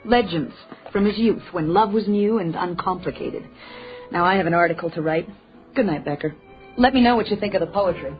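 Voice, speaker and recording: A woman's voice: on the low side, a little fast, lightly acted. Woman acting a proud witty lady reporter in a detective radio play, clipped and wry, bit dull sound, faint music. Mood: wry, teasing. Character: poised, sharp-witted woman journalist, proud and indignant.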